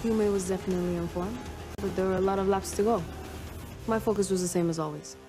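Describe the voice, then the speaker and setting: A woman's voice: medium pitch, fairly even intonation, quick pace, lightly acted. An actress playing a focused female racing driver with a terse, resolute way of talking. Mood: determined. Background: music.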